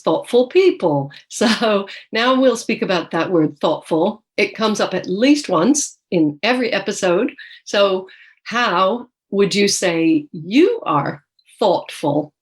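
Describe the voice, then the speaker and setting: A woman hosting a podcast interview, upbeat and welcoming as she introduces her guest and asks questions. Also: mid-range voice. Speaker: podcast host.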